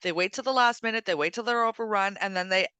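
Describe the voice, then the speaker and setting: A woman speaks with a bright, very clean voice in a dry, close sound. She is a co-host chatting casually, opinionated and emphatic, building her case one point at a time. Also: medium pitch, fast, clean tone, no echo. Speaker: co-host of a weekend current affairs show.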